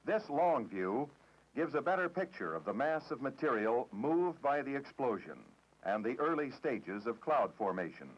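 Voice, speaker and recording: Narrator: American man, formal matter-of-fact 1960s documentary read, strongly modulated mid-range pitch, lo-fi old film audio. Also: very animated, normal speed. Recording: dull, dated 1960s film soundtrack.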